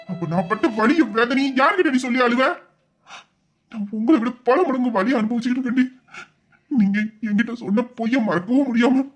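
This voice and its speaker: A man playing a furious, wounded young lover. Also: very high-pitched. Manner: scolding, exasperated, shouting at times. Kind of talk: audio drama acting.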